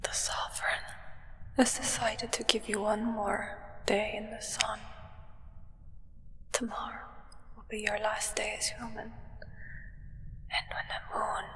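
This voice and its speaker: A woman's voice: medium pitch, little pitch movement, fairly bright tone, long reverb tail. Woman playing a whispering, solemn vampire's acolyte. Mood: solemn, reverent. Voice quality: breathy whisper. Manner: slow, measured, reverent, formal. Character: solemn, devoted human acolyte of a vampire sovereign. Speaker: ASMR roleplay performer. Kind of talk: whispered ASMR roleplay.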